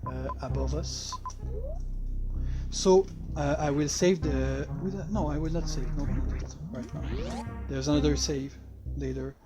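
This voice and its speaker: Slow-talking man with a French accent. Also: fairly high pitch, fairly even intonation, over music. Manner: halting, hesitant non-native English. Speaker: game developer giving commentary. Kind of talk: casual playthrough commentary.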